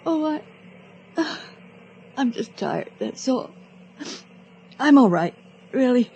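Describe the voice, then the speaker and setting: A woman's voice: sits high, very animated, slow pace, gravelly, warm tone, breathy edge, muffled recording, full character voice. An actress in a radio drama playing a weary, frightened, guilt-ridden woman with a fearful way of exclaiming. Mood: weary, shaken.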